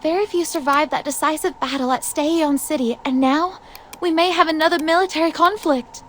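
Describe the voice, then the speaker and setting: An actress playing a caring, resolute young student warrior in a video game, earnest and dramatic in delivery. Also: female voice, sits high, moderate pace, a bit bright, a little hiss or hum.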